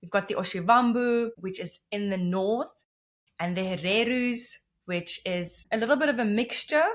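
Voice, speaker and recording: A woman's voice: mid-range voice, fairly bright tone. A Namibian woman whose first language is Afrikaans, a podcast guest explaining things at length with many restarts and self-corrections. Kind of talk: conversational interview.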